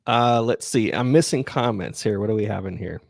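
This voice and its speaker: Male podcast host, low gravelly voice, close dry sound, halting and unassuming in casual Q&A. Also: no echo. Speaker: podcast creator and livestream host. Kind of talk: casual chat, answering listener questions.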